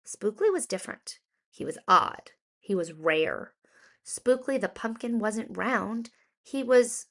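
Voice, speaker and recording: A woman reads a children's rhyming story in a fairly high voice. Her pace is very slow and deliberate, and she shapes each phrase dramatically, stressing important words as a storyteller for young listeners would. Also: a bit bright. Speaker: children's storybook reader, educator.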